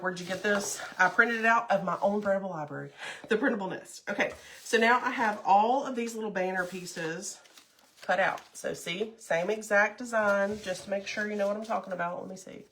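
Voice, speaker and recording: A chatty middle-aged woman, her voice stuffy from a cold. She talks in a bubbly, casual way and greets the people watching by name. Her pitch sits in a middle range for a woman. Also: fairly bright tone, a little hiss or hum. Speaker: craft livestream host. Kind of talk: casual livestream chat with viewers.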